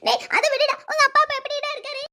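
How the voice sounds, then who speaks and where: Woman acting a very shrill, fretful old mother-in-law. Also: fast, pushed and bright. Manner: scolding, dramatic lamenting. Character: fretful, plaintive elderly village mother-in-law. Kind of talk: amateur family drama dialogue.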